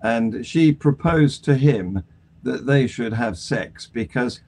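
A man's voice: medium pitch, expressive, slow, a little mellow. An older man with a British accent, talking in a wry, jokey way in a casual panel discussion over a video call. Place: room at home.

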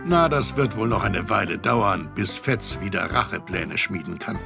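A man's voice: fairly low pitch, animated, normal speed, vocal fry, music in the background. A man narrating a children's adventure radio play as the storyteller. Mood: storytelling.

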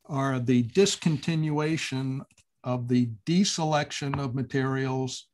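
Man, mid pitch, hesitant, many uhs, video call audio. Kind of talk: meeting discussion.

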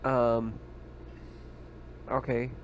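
A man's voice: mid-range voice, little pitch movement, very slow, dull audio, a little hiss or hum. A man chatting casually as a player in a tabletop roleplaying game.

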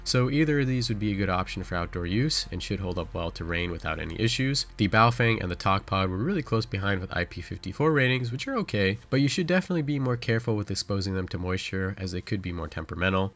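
A man's voice: fairly low pitch, fairly bright tone. A man delivering a scripted product review as channel host, in a matter-of-fact, instructional way.

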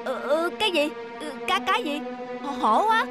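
An actor voicing a blustering, easily startled lion who stammers and exclaims in an animated fable. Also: high voice, moderate pace. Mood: nervous bluster. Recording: loud music behind the voice.

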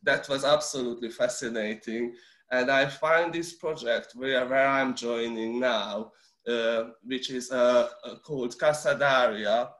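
A man in his forties with a Hungarian accent, speaking English as a guest on a video call. His speech comes in halting bursts, full of restarts and repeated words. The room sounds echoey. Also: mid-range voice, steady tone, a bit bright. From Romania. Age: 40s. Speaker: interview guest. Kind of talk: casual video-call interview.

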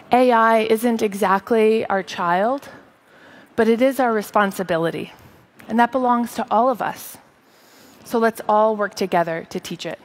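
A woman in her thirties delivering a rehearsed tech keynote on a large stage, speaking in a measured, earnest and articulate way. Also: medium pitch. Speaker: tech company presenter.

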